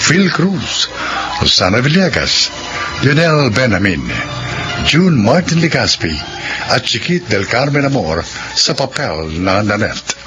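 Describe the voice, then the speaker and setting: A man announcing a radio drama in a dramatic, solemn scripted style with deliberate pauses. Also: medium pitch, big pitch swings, lo-fi sound. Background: prominent music.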